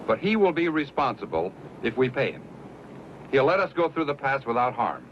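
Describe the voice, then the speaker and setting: An actor playing an insistent, self-assured settler bargaining earnestly in an old western radio drama. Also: male voice, medium pitch, very animated, moderate pace, natural voice. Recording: dull lo-fi radio recording, faint noise.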